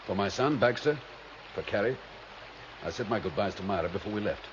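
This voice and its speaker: A man plays an anxious, resolute father in a dystopian radio drama of the 1970s. He speaks quickly, with a solemn, hesitant manner. The recording is dull, with clear noise behind him. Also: lowish voice, lively intonation, natural voice. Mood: solemn, resigned. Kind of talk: 1970s science fiction radio drama acting. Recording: dull vintage radio recording, noisy stream background.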